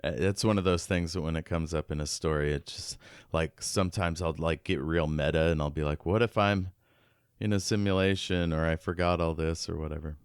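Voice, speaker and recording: A man's voice: low voice, fairly even intonation, brisk, clear, resonant tone, no room sound, studio quality. A man co-hosting a podcast, casually discussing a book in an informal, musing way. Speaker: podcast co-host.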